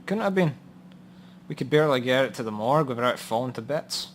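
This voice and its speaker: A man's voice: medium pitch, lively intonation, fast, natural voice. A matter-of-fact policeman played by a man in a noir crime radio play. He is dry and wry about grim business, with some noise and ambience behind his voice. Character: matter-of-fact, grimly wry male police records contact. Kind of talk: noir radio drama dialogue. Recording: audible background noise and ambience.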